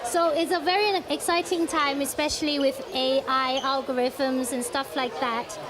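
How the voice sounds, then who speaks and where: High-voiced woman chatting amid a crowd. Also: flat delivery, normal speed. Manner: informal, fluent English. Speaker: news editor and panel moderator. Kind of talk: casual live chat. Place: busy public forum venue. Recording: crowded event chatter behind.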